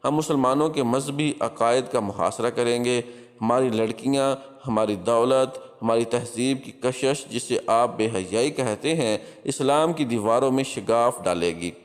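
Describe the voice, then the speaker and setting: A man's voice: mid-range voice, a little slow, close to an everyday voice. A man voicing a captured crusader spymaster in a storybook tale, coolly defiant, with solemn, declamatory delivery. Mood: ominous, prophesying. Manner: solemn, declamatory, emphatic address. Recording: long hall-like reverb.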